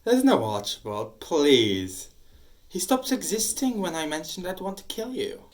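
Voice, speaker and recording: A woman's voice: sits low, sing-song, a little slow, darkish tone, roomy sound. A woman playing a cruel, taunting ancient male demon in an audio drama, with menacing, theatrical delivery. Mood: gloating menace.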